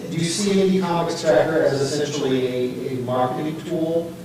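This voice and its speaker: A man speaking off the cuff in a panel discussion, haltingly, often searching for his words. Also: a little high, steady tone, a little mellow. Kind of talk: panel discussion, unscripted talk. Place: echoey room, recorded at a distance. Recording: very echoey room, distant mic, faint noise.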